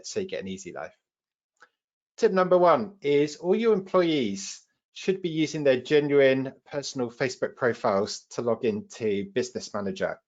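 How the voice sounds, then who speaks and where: A man with a British accent hosts a live online training session, explaining things in an informal, practical way as the trainer. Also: mid-range voice. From United Kingdom. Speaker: webinar host and trainer. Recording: slightly dull webinar audio.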